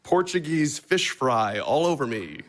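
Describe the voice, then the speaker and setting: A man's voice: fairly high pitch, very animated. An actor in an audio play as a brash, cynical, wealthy man who talks bluntly and mocks everyone around him. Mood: sardonic. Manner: blunt, sarcastic, quick to mock.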